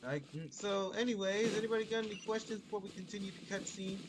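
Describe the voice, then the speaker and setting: A man giving casual live gameplay commentary, excitable and often repeating himself. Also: sits high, fast talker, very noisy. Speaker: game streamer. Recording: loud game music over voice. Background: video game music.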